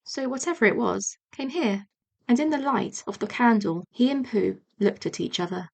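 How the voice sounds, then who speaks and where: Woman, audiobook narrator, children's story, high voice, creaky edges, expressive. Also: normal speed. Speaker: audiobook reader.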